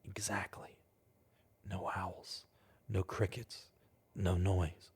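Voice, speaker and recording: A man acts as a grave investigator in an old-time radio horror play. He speaks slowly in a low voice, halting with dramatic dread, over loud music. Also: level tone, full character voice. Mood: uneasy. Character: grave, fearful male investigator hunting a monster. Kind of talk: vintage-style radio drama acting.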